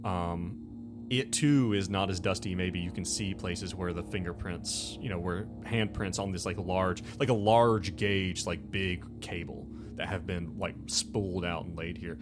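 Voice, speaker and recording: A man running a tabletop game, narrating off the cuff in a halting, conversational, explanatory way. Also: deep voice, fairly even intonation. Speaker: game master. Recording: music behind the voice.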